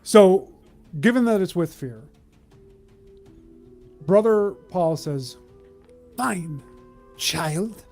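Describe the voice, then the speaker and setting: A man's voice: high voice, expressive, slow, long pauses, dark tone, faint music behind. A man casually narrating a tabletop game as its game master.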